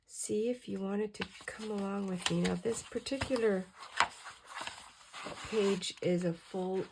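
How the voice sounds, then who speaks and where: A middle-aged woman with a hoarse voice chatting in a relaxed, rambling way as she crafts at her table late at night. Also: medium pitch, measured pace, heavy background noise. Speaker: crafting channel host. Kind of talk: casual crafting chat.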